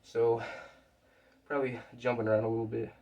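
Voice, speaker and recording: A man's voice: medium pitch, fairly quick, gravelly. Sick, scratchy-voiced young man. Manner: relaxed, rambling explanations with fillers and restarts. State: sick with a scratchy voice and sore throat. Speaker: fitness vlogger. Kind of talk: casual workout vlog talk. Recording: distant mic with faint background noise.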